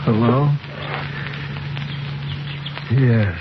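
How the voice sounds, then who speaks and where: An actor playing a haggard, wounded fugitive, fearful and evasive, in terse, halting 1940s radio drama style. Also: male voice, mid-range voice. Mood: weary, fearful. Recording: muffled, noisy 1940s radio recording.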